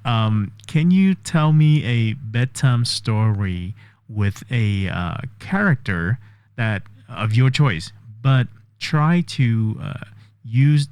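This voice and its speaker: A man's voice: mid-range voice, slow, a little mellow, clear, resonant tone, no room sound, studio quality. A man vlogging a test of an AI voice assistant, explaining step by step and thinking aloud. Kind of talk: casual tech demo commentary.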